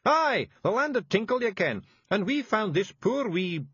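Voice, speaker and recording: Pint-sized Scottish fairy chief: high, gruff, hollering, thick brogue. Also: male voice, very animated, a little slow, no room sound, highs cut off, full character voice. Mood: flustered. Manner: gruff, urgent, shouting in broad dialect. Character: small fierce male Scottish fairy leader.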